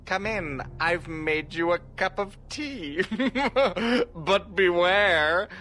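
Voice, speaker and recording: A male horror host speaks very slowly, with a bright, forceful edge. He is hammy and mock-sinister, stretching out his words as he introduces spooky tales. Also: sits high. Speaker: host of a horror radio show rebroadcast.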